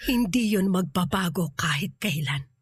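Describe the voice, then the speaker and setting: A woman's voice: on the low side, lively intonation, measured pace, breathy edge, no room sound, studio quality, faint music behind, exaggerated voice. A woman playing a protective, forgiving middle-aged aunt in a radio drama, soothing and earnest. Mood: tender, earnest. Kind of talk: Filipino radio drama acting.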